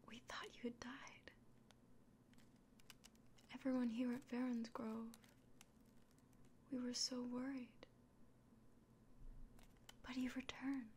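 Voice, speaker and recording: A woman playing a kind, anxious village innkeeper in a fantasy ASMR roleplay, speaking gently and directly to the listener in a breathy, mostly whispered voice. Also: fairly high pitch, a little hiss or hum. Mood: relieved, concerned.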